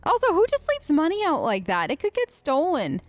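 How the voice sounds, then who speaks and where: High-pitched, playful woman gamer. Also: brisk, dry recording. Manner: playful, expressive, muttering to herself and the characters. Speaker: gaming video host. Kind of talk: role-play let's play commentary, casual chat. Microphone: close dry voice-over microphone.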